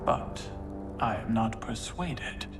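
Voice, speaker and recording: A man playing a proud, cautious monarch in a game, with a regal, deliberate and sceptical delivery. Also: medium pitch, distant mic, music in the background, lightly acted. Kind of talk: dramatic video game cutscene acting.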